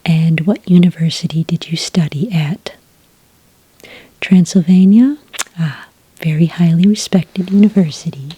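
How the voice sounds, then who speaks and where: A woman playing a friendly, patient licensing clerk in a soft, breathy ASMR roleplay, speaking gently and politely close to the microphone. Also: on the low side, slight background noise, natural voice, rough recording. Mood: calm, polite. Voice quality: breathy, soft, near-whisper. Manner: gentle, unhurried, politely official. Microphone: close, dry microphone.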